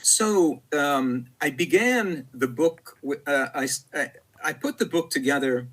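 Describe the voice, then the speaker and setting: Husky, halting male poet. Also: a little high, fairly bright tone. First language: Italian. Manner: halting, many fillers and repeated words. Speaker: poet, interview guest. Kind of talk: reflective conversational interview.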